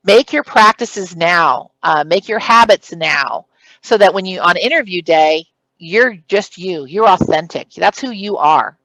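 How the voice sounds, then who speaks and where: A woman's voice: on the low side, lively intonation, unhurried, fairly bright tone. A woman at a conference session giving interview advice in a strong, projected voice. She stresses her points firmly and counts them off one by one. The sound is close and dry, with no room echo. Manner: projected, emphatic, speaks in numbered points. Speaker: conference presenter. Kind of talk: recorded conference talk giving career advice.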